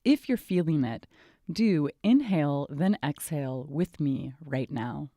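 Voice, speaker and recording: A woman hosting a podcast, giving a calm, guiding scripted introduction. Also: deep voice, measured pace, no room sound, clean studio sound. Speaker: podcast host.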